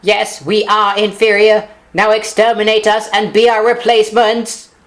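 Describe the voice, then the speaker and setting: A man voicing a bossy, exasperated alien war machine in a toy parody, with a croaking voice and commanding, staccato delivery. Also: high-pitched, completely flat delivery, moderate pace, a bit bright. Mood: submissive. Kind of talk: fan parody voice acting. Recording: echoing room sound.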